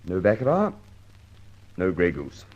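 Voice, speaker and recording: Radio serial: earnest British policeman, lively inflection, confiding and courteous, on a dull old recording. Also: deep voice, measured pace, exaggerated voice. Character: earnest, methodical male police detective. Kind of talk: old-time radio detective drama acting.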